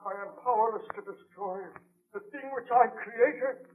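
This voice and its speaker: A man playing a haunted, defiant gentleman scientist with a melodramatic, formal delivery in a 1930s radio drama. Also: very high voice, normal speed, breathy edge. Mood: defiant, desperate. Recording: tinny band-limited 1930s radio broadcast.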